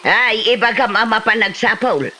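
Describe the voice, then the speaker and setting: A woman acting a lively, neighbourly moneylender in an Ilocano comedy drama, with a Filipino Ilocano accent and an exclamatory manner. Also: fairly high pitch, moderate pace.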